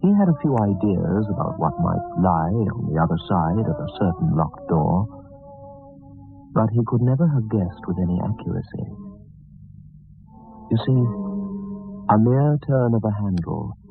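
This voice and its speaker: A man's voice: fairly low pitch, lively intonation, measured pace, gravelly, long pauses, faint music behind. A man narrating as host of a radio mystery show, giving a scripted, ominous introduction. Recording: narrow-band old radio recording.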